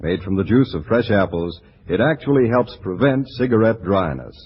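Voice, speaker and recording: Very raspy, lowish American ad announcer. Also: male voice, lively intonation, normal speed. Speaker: commercial announcer. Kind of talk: 1940s radio advertising read. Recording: dull 1940s radio recording.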